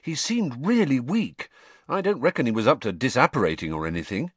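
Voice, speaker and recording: A man's voice: medium pitch, big pitch swings, fairly quick, breathy edge, no echo, pitched above the performer's own voice. A man voicing an earnest teenage boy in an audiobook, reasonable and mildly protesting. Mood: thoughtful.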